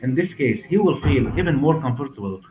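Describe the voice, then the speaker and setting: A man's voice: medium pitch, very animated. Syrian man in his forties, roomy muffled recording. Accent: Syrian Arabic. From Damascus, Syria. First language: Arabic. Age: 40s. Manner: repeats words, halting non-native English phrasing. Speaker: hair clinic director and interview guest. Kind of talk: conversational interview answers. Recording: roomy, muffled, narrow sound with music behind.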